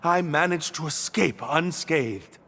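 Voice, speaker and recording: An earnest, high-voiced young prisoner. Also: male voice, a bit bright, heavily acted. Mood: earnest, nervous. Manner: polite, halting, eager. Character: earnest, grateful young male prisoner in love. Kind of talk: dramatic video game voice acting.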